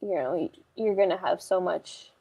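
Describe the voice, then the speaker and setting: A woman's voice: lowish voice, moderate pace, creaky, mellow, slightly rough, no echo. A woman hosting a casual book discussion on a video call, talking in a hesitant, rambling way with lots of "like". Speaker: book club livestream host.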